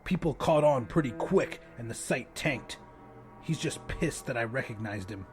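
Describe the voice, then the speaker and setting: A man voicing a gruff, laid-back, sardonic male smoker in an audiobook, with blunt casual talk. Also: expressive, moderate pace, music in the background, lightly acted. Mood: dismissive. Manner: low-key, blunt, casual.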